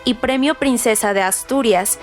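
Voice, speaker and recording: A woman presenting scripted literary trivia for radio, reading in a formal, deliberate manner. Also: fairly high pitch. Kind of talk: scripted narration, trivia about a novel. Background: background music.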